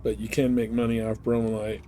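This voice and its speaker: A man's voice: on the low side, flat delivery, a little fast, warm tone, slight background noise. A man giving casual gameplay commentary as a streamer, explaining things in an informal, loose way. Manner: informal, explanatory, mildly profane. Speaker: game streamer.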